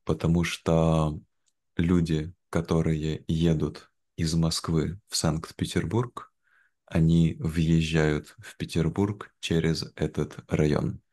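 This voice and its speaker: A young man speaking in a careful, measured way in a simplified conversation for learners, on a video call from home. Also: rumbling low, level tone, warm tone. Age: young adult. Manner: measured, careful, deliberate phrasing. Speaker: guest, language teacher. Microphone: video call, wearing headphones.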